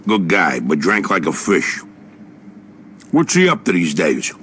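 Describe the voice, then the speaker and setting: An actor playing a brash, casual waterfront boss in a video game cutscene. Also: male voice, low voice, very animated, a little slow, fairly bright tone, slight background noise, full character voice. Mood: breezy, bossy.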